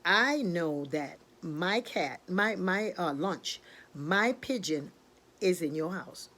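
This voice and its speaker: A woman's voice: lowish voice, lively intonation, slow, a bit bright, exaggerated voice. A woman voicing a hungry, scheming male cat in a storybook tale, muttering and plotting. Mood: indignant.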